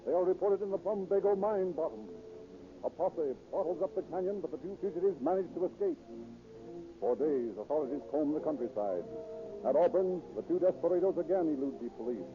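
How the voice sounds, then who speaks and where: An actor as the formal, dramatic narrator of a 1930s police radio drama, delivering scripted case narration. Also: male voice, a little high, animated, brisk, long pauses. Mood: grave, dramatic. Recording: tinny 1930s radio recording with music.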